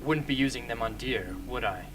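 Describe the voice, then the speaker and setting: A man's voice: medium pitch, a little fast, natural voice. A man acting in an amateur radio drama as an earnest, stubborn young rebel hunter. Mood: defensive, intense. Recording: faint background noise.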